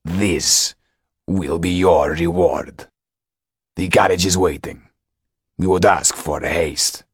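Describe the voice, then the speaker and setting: A menacing, haughty steward from a storybook, voiced by a man, with a low pitch. He speaks coldly and curtly, giving orders with firm command. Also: steady tone, takes it slowly, slightly rough, dry recording, studio quality, exaggerated voice. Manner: curt, commanding, cold. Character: menacing, scarred, bulky steward, curt and haughty.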